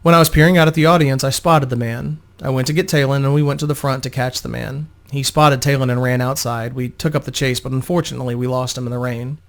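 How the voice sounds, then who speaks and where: A composed woman adventurer from a fantasy audiobook, read by a man in a medium-pitched voice. She is soothing, decisive and protective, speaking in a matter-of-fact way. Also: fast, gravelly, hardly any pauses, close to an everyday voice. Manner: calm, reassuring, matter-of-fact. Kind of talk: dramatic fantasy story reading.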